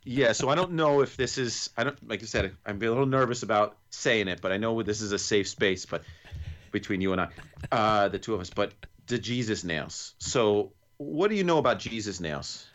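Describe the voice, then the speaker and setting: A man with a New York accent chatting casually as a podcast co-host, telling stories in a halting, rambling, jokey way. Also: fairly low pitch, expressive, fairly bright tone, muffled recording. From New York, United States.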